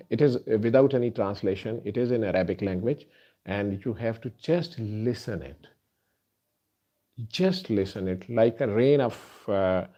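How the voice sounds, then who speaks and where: A man whose first language is Urdu, a doctor, earnestly addressing listeners in a halting way with many fillers. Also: lowish voice, dark tone. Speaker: doctor and healer. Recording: dull lo-fi recording.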